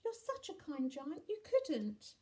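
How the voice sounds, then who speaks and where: Woman putting on a high, eager little child. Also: very animated, slow pace, dark tone, full character voice. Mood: reassuring, eager. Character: sweet, pleading, admiring little children.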